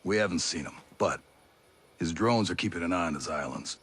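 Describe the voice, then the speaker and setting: An actor playing a wary, sardonic older local leader in a video game cutscene. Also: male voice, deep voice, brisk, a bit bright, slightly rough. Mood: grim, explaining.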